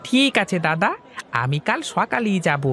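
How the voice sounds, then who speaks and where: High-pitched eager fish hawker. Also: male voice, sing-song, normal speed, bright, forceful tone, some chatter behind, heavily acted. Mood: eager, persuasive. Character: eager male village fish hawker, friendly, persuasive. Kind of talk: Bengali children's cartoon dubbing.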